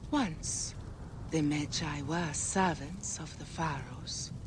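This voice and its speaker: Slow, low-voiced regal Egyptian queen. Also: background noise. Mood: reverent, mournful. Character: regal ghostly Egyptian queen, devoted, solemn consort. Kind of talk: video game cutscene acting. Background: music.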